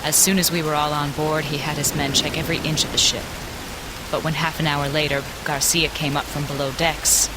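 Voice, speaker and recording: An actress narrating in first person as a plucky 1920s journalist, recalling events with vivid, wry detail in a radio drama. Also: female voice, deep voice, level tone, a little fast, lightly acted. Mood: tense. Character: 1920s woman journalist, frightened but plucky. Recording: loud rain and storm noise.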